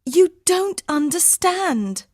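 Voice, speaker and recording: Desperate young female traveller for audiobook dialogue: anxious, pleading, very expressive, unhurried. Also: high voice, no room sound, studio quality, heavily acted.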